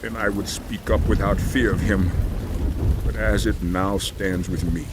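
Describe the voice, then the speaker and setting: A man's voice: fairly low pitch, fairly even intonation, unhurried, very noisy, lightly acted. An actor playing a bitter, anguished man who pleads his innocence to God in a theatrical, lamenting scripture drama. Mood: weary despair. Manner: impassioned, lamenting, rhetorical.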